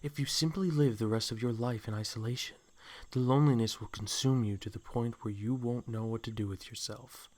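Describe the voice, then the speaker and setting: A man's voice: mid-range voice, fairly even intonation, a little fast, darkish tone, lightly acted. A man acting a suave, possessive snake man in a comic dub, coaxing with flowery, condescending endearments. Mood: smooth persuasion. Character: suave, possessive snake man courting his captive love.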